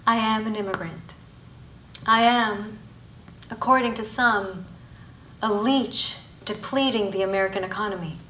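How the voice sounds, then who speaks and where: A woman in her thirties, from Bangladesh and raised in Thailand, giving a live spoken-word performance with emphatic, rhetorical phrasing and pauses between listed words. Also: medium pitch, a bit bright, slight background noise. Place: university auditorium before an audience. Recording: echoey hall, voice from a distance.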